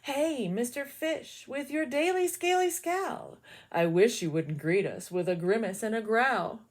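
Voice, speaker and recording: A woman voicing a gentle, drifting male jellyfish in a storybook, drawn-out and soft. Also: a little high, expressive.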